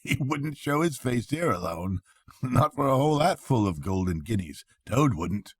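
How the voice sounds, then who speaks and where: A man's voice: sing-song, normal speed, husky, dry recording. A man voicing a kindly, brave male water rat in a storybook reading, with a soothing, fatherly manner. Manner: reassuring, fatherly, slightly higher than the narration.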